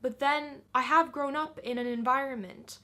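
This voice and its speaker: A woman's voice: high-pitched, steady tone, normal speed, sharp tone. A young woman with an American accent, thinking aloud in a casual, searching way as she talks to her viewers. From Finland. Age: young adult. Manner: thoughtful, searching, asks rhetorical questions. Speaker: vlogger. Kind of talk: casual reflective talk to camera.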